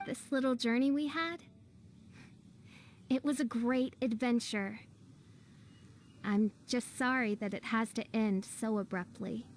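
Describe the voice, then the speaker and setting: A woman's voice: a little high, fairly even intonation, measured pace, long pauses, a little hiss or hum. A woman playing a theatrical teenage girl who poses as a grandiose gothic princess in an anime game. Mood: sincere, regretful. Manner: pompous, melodramatic, archaic diction.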